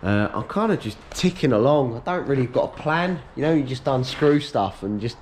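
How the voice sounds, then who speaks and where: A man in his thirties, slightly hoarse, chatting casually to a head-mounted camera while he strips out his workshop. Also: mid-range voice, animated, moderate pace, slight background noise. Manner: chatty, upbeat, matter-of-fact while working. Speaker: workshop owner vlogging. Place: large workshop being stripped out, indoors. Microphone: head-mounted action camera.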